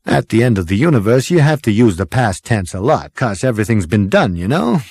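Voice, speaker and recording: A man's voice: fairly low pitch, big pitch swings, a little fast, a little mellow, breathy edge, dry recording, clean studio sound, heavily acted. A man voicing a brash, manic, boastful male alien hipster in a comic audiobook, slangy and exuberant. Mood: excited, awed.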